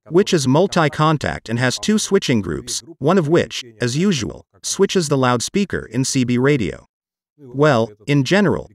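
Middle-aged male reviewer, Russian accent, animated pitch movement, explanatory delivery to camera. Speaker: host of a radio review channel.

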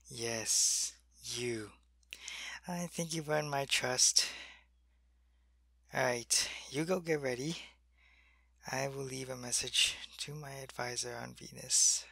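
A man playing a sick, weak male alien soldier in an ASMR roleplay. He speaks softly into a close mic and often drops to a whisper. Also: mid-range voice, creaky, dry recording, heavily acted. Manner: soft-spoken, drifting into whispers.

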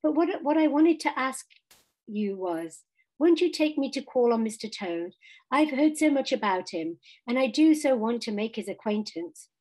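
A woman's voice: a little high, animated, normal speed, darkish tone, rough recording. A woman voicing a candid, agreeable little male mole in a storybook, mild and conciliatory. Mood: soothing, eager.

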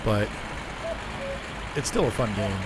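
Hoarse man streaming a game. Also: on the low side, lively intonation, slow pace. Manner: rambling, chuckling, answers chat. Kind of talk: casual gameplay chat. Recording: noisy game sound behind.

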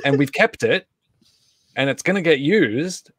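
A man's voice: a little high, lively intonation, a little slow, dry recording. A man hosting a casual livestream chat, talking in a rambling, conversational way. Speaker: host and co-creator. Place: indoor home setup on a group video call.

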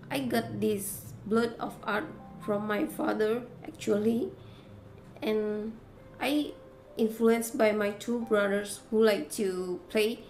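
A woman in her thirties with an Indonesian accent gives a prepared speech to camera as a content creator, in deliberate, halting phrases. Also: medium pitch, darkish tone. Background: faint music.

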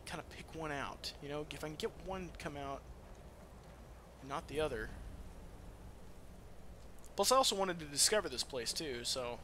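A man giving casual let's-play game commentary in an excitable, foul-mouthed manner. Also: fairly high pitch, normal speed, long pauses, slight background noise. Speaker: gamer playing and commentating.

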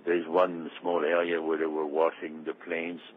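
A man's voice: rumbling low, monotone, normal speed, narrow, tinny audio. A man giving conversational interview answers, heard over shortwave radio. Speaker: magazine publisher being interviewed. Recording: very noisy analog shortwave radio reception.

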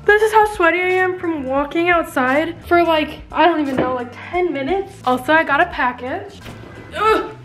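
A woman's voice: very high-pitched, moderate pace, over music. A young woman in her late teens chatting casually to camera in a bedroom vlog, rambling with lots of fillers. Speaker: vlogger. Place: bedroom at home, indoors.

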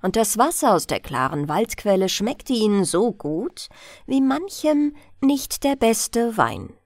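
A woman narrating a bedtime audiobook in a gentle, expressive, deliberate storytelling style. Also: fairly high pitch, no echo, clean studio sound.

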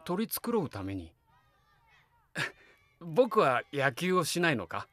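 A man's voice: a little high, big pitch swings, slow pace, a bit bright, full character voice. A man playing a kindly, wistful middle-aged family man in a Japanese voice drama, both narrating reflectively and talking in dialogue. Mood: wistful, gentle. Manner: reflective storytelling alternating with hearty, coaxing dialogue.

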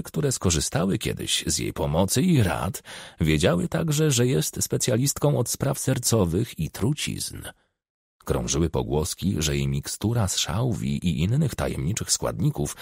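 A man's voice: low voice, vocal fry, husky, no echo, studio quality. A man reading a scripted novel as an audiobook narrator, in a literary storytelling style.

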